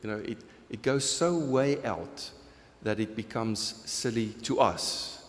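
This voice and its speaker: South African pastor in echoing church. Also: male voice, mid-range voice, takes it slowly. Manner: earnest, conversational, laughs at his own jokes. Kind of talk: sermon with open questions from the congregation. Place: church sanctuary. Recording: long hall reverb in a church.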